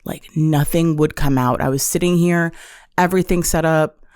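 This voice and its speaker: A woman's voice: deep voice, steady tone, moderate pace, darkish tone, clear, resonant tone, dry recording. A woman in her twenties hosting her own podcast alone, chatting casually and self-deprecatingly from her apartment. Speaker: solo podcast host. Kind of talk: casual confessional chat. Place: apartment room. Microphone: on-camera setup.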